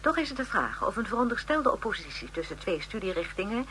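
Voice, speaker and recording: A woman's voice: medium pitch, big pitch swings, quick pace, fairly bright tone, slight background noise. A woman in a radio drama playing a lecturer with a formal, academic delivery. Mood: earnest, didactic. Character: formal female lecturer on political history.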